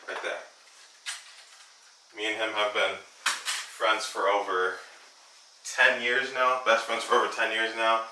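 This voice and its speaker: A man's voice: low voice, bright, forceful tone, a little hiss or hum. A twenty-year-old man hosting a podcast in casual chat, rambling loosely with plenty of fillers. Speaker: podcast host. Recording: echoey room, mic at a distance.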